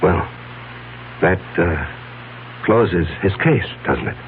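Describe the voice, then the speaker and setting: An actor playing a scheming, nervous businessman with a halting, evasive manner in a 1970s radio drama. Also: sits low, lively intonation, gravelly, full character voice. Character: scheming, nervous businessman covering up his misdeeds. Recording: noisy, tinny old radio broadcast with hum.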